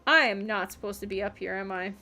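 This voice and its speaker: A woman's voice: medium pitch, fast talker, pushed and bright. A woman livestreamer giving casual gameplay commentary, muttering questions and reactions to herself as she plays. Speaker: video game streamer.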